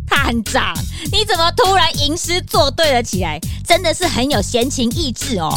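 Perky, high-voiced detective's assistant. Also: female voice, sing-song, moderate pace, soft background music. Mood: earnest. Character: earnest, admiring young detective's assistant.

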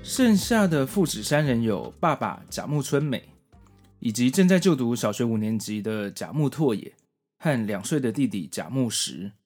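Taiwanese man hosting a podcast, lively pitch. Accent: Taiwanese Mandarin. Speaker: podcast host. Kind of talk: semi-scripted podcast narration introducing comics.

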